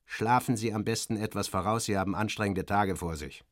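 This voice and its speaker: A man's voice: on the low side, a little fast, no echo, natural voice. Seasoned major, voiced by a male narrator, muttering and sure of himself, colloquial, radio drama, close dry sound. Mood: matter-of-fact. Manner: murmuring, colloquial, matter-of-fact. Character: military major, confident, muttering, grumbling balloon pilot.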